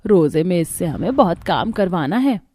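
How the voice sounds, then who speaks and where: A woman's voice: on the low side, sing-song, normal speed, dark tone, slightly rough, dry recording, clean studio sound. A woman voicing a scheming, spiteful mother-in-law in a Hindi cartoon story, scolding and domineering. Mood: gloating. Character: scheming, spiteful mother-in-law who bullies her daughter-in-law.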